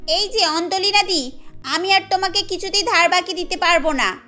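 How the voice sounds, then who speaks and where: A woman's voice: extremely high pitch, moderate pace, pushed and bright, soft background music, voice pushed up. A woman voicing a blunt, unyielding vegetable seller in a cartoon, curt and dismissive. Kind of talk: cartoon story dialogue.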